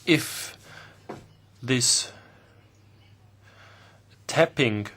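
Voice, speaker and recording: A man interpreting into English at a committee hearing, with a steady, formal delivery. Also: mid-range voice, drawn-out words, slightly rough. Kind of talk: simultaneous interpretation.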